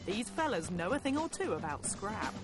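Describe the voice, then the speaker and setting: A woman's voice: mid-range voice, very animated, normal speed. Wry woman narrator over music. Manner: wry, punchy delivery.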